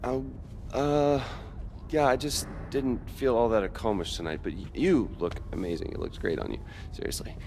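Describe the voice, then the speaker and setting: A man's voice: medium pitch, moderate pace, darkish tone, a little hiss or hum, lightly acted. A man playing a cocky, mischievous young American rebel with superpowers in a video game, flippant and given to muttering to himself. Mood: sheepish. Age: young adult. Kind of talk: naturalistic video game acting.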